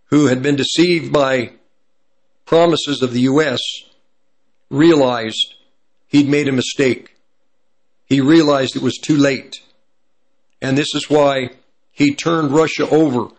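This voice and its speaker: A man with a slightly raspy voice from hay fever, giving prophecy commentary as he reads from an article and stops to correct himself. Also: mid-range voice, low-quality recording. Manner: pauses to correct himself mid-sentence.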